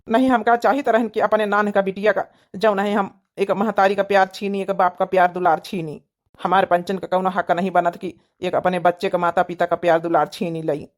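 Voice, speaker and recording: Aggrieved cartoon husband, voiced by a woman, medium pitch, earnest, Awadhi accent. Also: little pitch movement, fairly quick, lightly acted. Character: aggrieved village husband and father, earnest, self-justifying.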